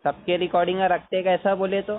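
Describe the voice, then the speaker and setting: A man's voice: high voice, little pitch movement, fairly quick. A young man from Nagpur on a heated phone call, insistently accusing and lecturing the other man. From Nagpur, India. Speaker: caller. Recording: tinny phone line with faint hiss.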